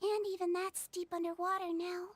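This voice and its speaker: A woman voicing a small, calm Melusine nurse girl who speaks softly and matter-of-factly in a game. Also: very high-pitched, moderate pace, no echo. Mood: gentle. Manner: soft, matter-of-fact, kindly. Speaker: prison doctor. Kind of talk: game dialogue acting.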